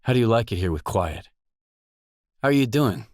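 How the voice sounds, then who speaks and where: A man's voice: medium pitch, expressive, normal speed, dry recording, clean studio sound, natural voice. A man playing a matter-of-fact mercenary stalker, the hero of a video game, speaking in terse, businesslike dialogue lines. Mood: matter-of-fact, guarded.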